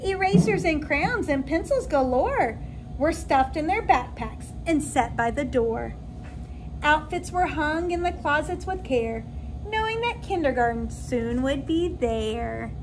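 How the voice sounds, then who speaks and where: A woman with a high voice reads a picture book aloud like a kindergarten teacher. She takes it slowly and expressively for young listeners. There is faint noise behind her in a classroom recording. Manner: expressive, animated delivery to children. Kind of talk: read-aloud storytelling for young children. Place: indoor classroom.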